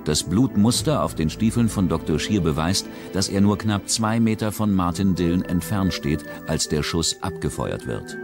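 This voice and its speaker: A man reading a scripted true-crime documentary narration in a measured, even way. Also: sits low. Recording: music bed under the voice. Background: documentary music.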